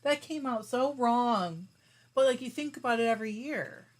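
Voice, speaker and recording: Chatty woman hosting a craft live stream. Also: on the high side. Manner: chatty and warm, with frequent laughs and quick asides; talks while spinning yarn. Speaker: host of a fiber arts live stream. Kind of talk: casual live-stream chat, reading viewer comments aloud.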